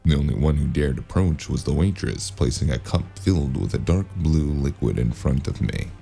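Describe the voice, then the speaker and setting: A man playing a gruff, wary noir investigator in an audio drama, narrating and talking in a dry, hard-boiled, laconic way. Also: extremely low pitch, moderate pace, dark tone. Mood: brooding, observant.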